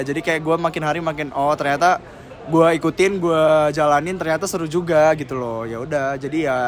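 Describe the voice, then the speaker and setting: Young man, a bit high-pitched, loose slangy answers with lots of fillers, outdoor interview. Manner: rambling, slangy, heavy with fillers. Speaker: senior member being interviewed.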